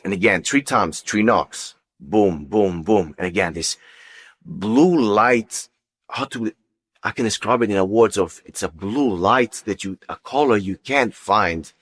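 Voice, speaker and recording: Deep-voiced man giving personal testimony. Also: a bit bright, slightly rough. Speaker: guest sharing testimony. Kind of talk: personal religious testimony, casual storytelling.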